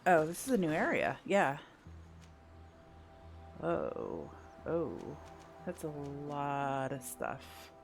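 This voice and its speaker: A woman's voice: sits low, sing-song, slow pace, long pauses, soft background music. A woman doing casual playthrough commentary, thinking aloud and asking herself questions as she goes. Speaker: gameplay host.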